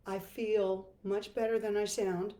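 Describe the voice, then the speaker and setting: An older woman with a hoarse, froggy voice, teaching a chatty guitar lesson live from her home music room. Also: medium pitch, little pitch movement, unhurried, dark tone, reverberant. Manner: chatty, self-deprecating, laughs easily. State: hoarse from laryngitis.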